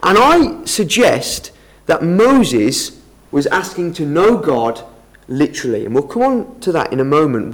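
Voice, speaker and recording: A man preaches to a roomful of listeners, explaining scripture in an earnest, teaching way. His voice sits a little high and swings widely in pitch. He often restarts his sentences as he builds his points. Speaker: lay preacher. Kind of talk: Bible talk to a congregation. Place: meeting room with an audience.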